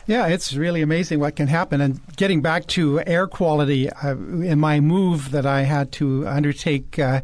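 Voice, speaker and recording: A man co-hosting a radio health show, talking opinionatedly with many fillers and pauses. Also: a little high, steady tone, a little mellow, no room sound. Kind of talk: casual radio talk. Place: radio studio.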